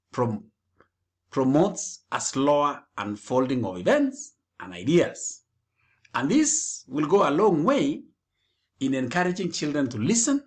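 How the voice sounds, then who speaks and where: A man presents scripted educational material at a slow, deliberate pace. His voice sits a little high for a man and rises and falls widely from phrase to phrase.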